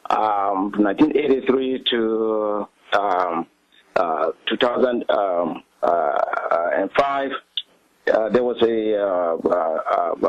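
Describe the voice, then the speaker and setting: Middle-aged male interview guest, South Sudanese accent, low pitch, hesitant delivery with many ums, very dry close sound. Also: dry recording, dull audio. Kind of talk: recounting personal history in an interview.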